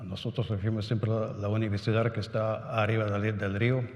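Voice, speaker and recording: A low-voiced man gives a symposium talk in a language he learned later, with German and Italian as his first tongues. He stumbles, restarts and keeps adding little tag questions. The sound is roomy, with a long hall reverb. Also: flat delivery, a little mellow, highs cut off. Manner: halting, many restarts and "¿no?" tags. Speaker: conference speaker. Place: conference hall.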